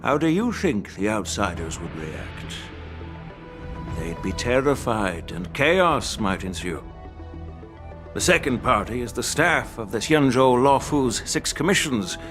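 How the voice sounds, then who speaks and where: An actor playing a calm, shrewd veteran general in a video game, speaking in a measured, instructive way. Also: male voice, medium pitch, over music. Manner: measured, deliberate, gently instructive. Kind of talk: scripted video game acting.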